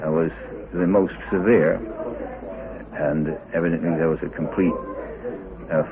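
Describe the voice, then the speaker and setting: Deep-voiced male expert, muffled crowded recording. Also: fairly even intonation, measured pace. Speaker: interviewed researcher with a doctorate. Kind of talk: unscripted interview answers. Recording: muffled lo-fi tape, busy crowd behind.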